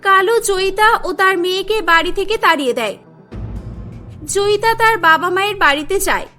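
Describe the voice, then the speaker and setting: A woman's voice: extremely high pitch, bright, forceful tone. A woman narrating a scripted cartoon story as its storyteller.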